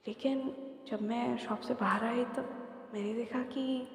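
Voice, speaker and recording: Woman playing a sunny young woman, soft and gentle. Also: fairly high pitch, steady tone, unhurried, faint music behind. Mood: hushed, dreamy. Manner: soft-spoken, gentle, mixes in English. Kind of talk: ASMR roleplay. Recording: roomy echo with long reverb.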